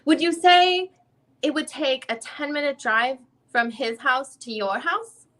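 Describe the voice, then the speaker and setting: A woman reading the part of a female lawyer who questions a witness in a deposition, in halting, rephrased questions. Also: sits high, a bit bright. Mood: probing. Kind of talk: dramatic reading of a legal deposition transcript.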